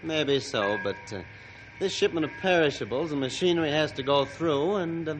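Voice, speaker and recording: An actor playing a stubborn, bossy railroad owner with an imperious manner in a 1950s radio drama. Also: male voice, on the high side, normal speed, over music, lightly acted, poor audio. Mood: smug, evasive. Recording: vintage 1950s radio recording.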